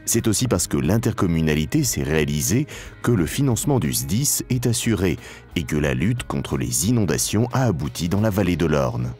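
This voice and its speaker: A man reading a scripted promotional documentary narration in a formal, measured way, with emphatic phrasing. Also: low-pitched, sing-song, darkish tone. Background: background music.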